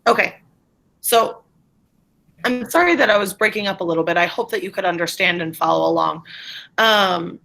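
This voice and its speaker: A woman teaching a middle school class over a video call, informal and encouraging, with quick asides. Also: on the low side, gravelly, sharp tone, poor audio. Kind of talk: online class instruction.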